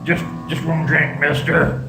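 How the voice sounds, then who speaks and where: A pitiful old drunken beggar played by a man in a staged radio drama. He pleads haltingly in a creaky, mid-pitched voice. The sound is roomy, with music behind it. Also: level tone. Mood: pleading. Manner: halting, repetitive begging. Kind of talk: stage radio play acting.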